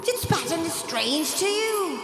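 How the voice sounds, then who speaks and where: A woman playing the solemn, mournful, chained ghost of a dead business partner in radio theater, with drawn-out theatrical delivery. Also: extremely high pitch, very animated, exaggerated voice. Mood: ominous, forceful. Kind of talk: live radio theater acting. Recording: long echoing reverb, loud music behind.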